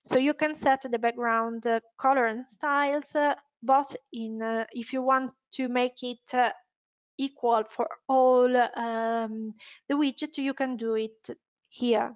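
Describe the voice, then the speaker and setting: A woman with an Italian accent presenting a step-by-step software tutorial, hesitant and unscripted, often correcting herself. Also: on the high side, steady tone, takes it slowly, smooth, dull audio. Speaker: webinar presenter, software trainer.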